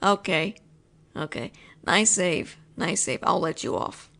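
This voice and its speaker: A woman's voice: fairly low pitch, slow, vocal fry, fairly bright tone. A woman acting out a one-sided audio roleplay as a frustrated, possessive roommate talking straight to the listener. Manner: frustrated, insistent, teasing. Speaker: voice actress playing a possessive roommate.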